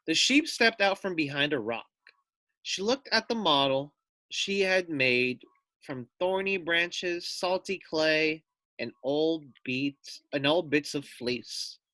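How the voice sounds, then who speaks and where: A man's voice: fairly high pitch, animated, slow pace, pushed and bright, dry recording. A man reading a children's story aloud as a teacher, with chatty asides and questions to his young listeners. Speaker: teacher reading to first graders.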